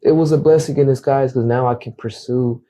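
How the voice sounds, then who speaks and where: A man's voice: mid-range voice, steady tone, fairly quick, dark tone. A young man whose first language is Acateco, speaking earnestly as a guest realtor in a casual interview and often repeating words as he starts a thought. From United States.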